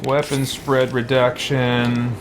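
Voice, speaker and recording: A man's voice: mid-range voice, flat delivery, takes it slowly, noisy background. A man giving casual video game commentary, thinking aloud and musing to himself with mild exclamations. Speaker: let's play host.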